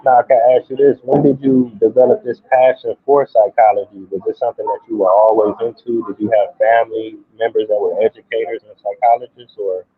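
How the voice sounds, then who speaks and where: A man's voice: fairly low pitch, level tone, brisk, hardly any pauses, husky, dry recording. A man hosting a podcast, politely acknowledging answers and asking the next question. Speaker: podcast host. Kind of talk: interview questions. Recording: dry phone-like line.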